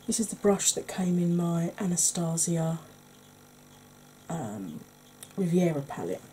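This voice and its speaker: A middle-aged woman with a Welsh accent giving an ASMR makeup tutorial at home, talking softly and often in a whisper, chatty with a dry sarcastic streak. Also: lowish voice, very animated, unhurried, slight background noise, rough recording. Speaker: beauty YouTuber. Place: indoors at home, face in close-up.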